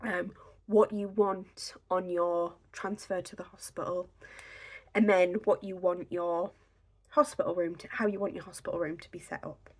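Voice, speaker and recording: A young woman with a slightly raspy, croaky voice, chatting casually to a camera on a tripod at home, giving pregnancy advice. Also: fairly low pitch, fairly even intonation, normal speed. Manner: chatty, filler-heavy, reassuring. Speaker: pregnancy vlogger. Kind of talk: casual instructional talk to camera.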